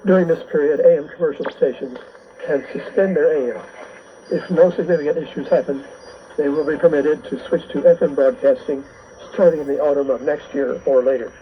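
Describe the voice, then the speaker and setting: A male host reads a scripted radio bulletin in a measured way. It comes through a shortwave receiver, muffled and narrow in band, with light noise behind the voice. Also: a little high, steady tone. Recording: noisy, band-limited shortwave radio reception.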